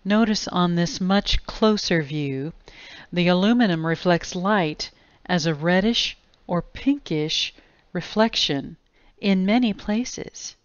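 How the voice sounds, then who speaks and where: Slow, smooth-voiced woman narrating gravely. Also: on the low side, no room sound. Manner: deliberate, grave. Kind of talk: scripted explanatory video narration. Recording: dull lo-fi close dry voice-over.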